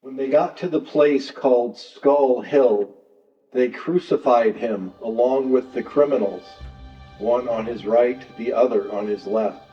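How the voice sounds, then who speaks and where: A man giving a measured, solemn scripted narration of the Passion story. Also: mid-range voice. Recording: dull, distant, echoing church sound with music.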